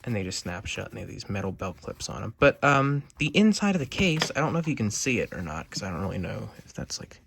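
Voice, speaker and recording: A man's voice: fairly low pitch, sing-song, quick pace, few pauses, a bit bright. Rambling man reviewing a gadget, noisy recording. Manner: rambling, hesitant delivery. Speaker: product reviewer. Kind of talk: casual unscripted gadget review. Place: indoors at a desk. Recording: clear background noise, homemade video.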